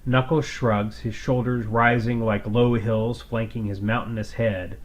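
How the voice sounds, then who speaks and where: A man's voice: lowish voice, flat delivery, measured pace. A man narrating a scripted science fiction story as its storyteller.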